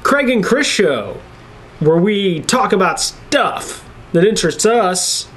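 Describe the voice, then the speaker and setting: A man's voice: sits high, lively intonation, slow, fairly bright tone. A man co-hosting an entertainment show in casual back-and-forth banter. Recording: clearly noisy room recording.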